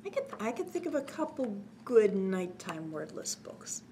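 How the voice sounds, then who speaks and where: A middle-aged woman hosting story time, reading to young children with expressive, simple phrasing. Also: medium pitch, normal speed, slight background noise. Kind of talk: children's read-aloud narration.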